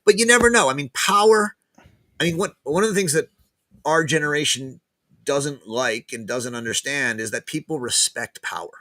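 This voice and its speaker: A man, a guest professor, talking casually in an interview, pausing and repeating words as he thinks aloud. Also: a little high, sharp tone, no echo, rough recording. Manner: hesitant, many restarts and repeated words.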